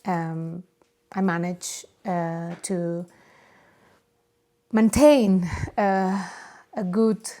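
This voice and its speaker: A woman's voice: lowish voice, fairly even intonation, very slow, pauses often, warm tone. A woman from Spain with a Spanish accent, a non-native English speaker, hosting a personal podcast and talking unscripted, often searching for words. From Barcelona area, Spain. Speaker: podcast host. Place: home or private studio.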